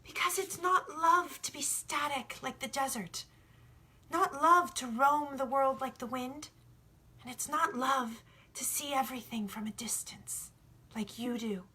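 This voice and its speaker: A woman's voice: high voice, measured pace, bright, forceful tone, breathy edge, voice pushed up, full character voice. A woman voicing an earnest, bold young boy who philosophizes emphatically in a storybook read. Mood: passionate.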